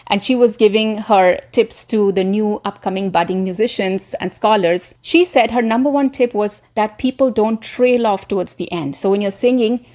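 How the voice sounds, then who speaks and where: A woman gives an instructional talk in the manner of a presenter and coach. Her voice sits at a middle pitch for a woman. She addresses the listener directly and encouragingly, placing deliberate stress on the words that matter. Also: a little mellow.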